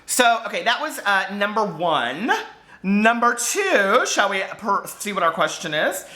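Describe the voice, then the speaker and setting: A man chatting casually to camera as a book vlogger, gushing and animated, wandering off on tangents with lots of filler words. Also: high voice, measured pace. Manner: gushing, digressive, peppered with fillers.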